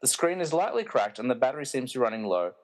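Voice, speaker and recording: A man's voice: mid-range voice, very animated, quick pace. A man narrating a scripted comic radio play with wry, self-aware delivery.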